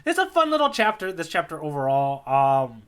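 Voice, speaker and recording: A man's voice: fairly high pitch, wildly swooping pitch, brisk, fairly bright tone, clear, resonant tone. A man co-hosting a podcast, telling a story in a casual, rambling, jokey way. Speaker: podcast co-host. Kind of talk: casual chat recapping a manga chapter.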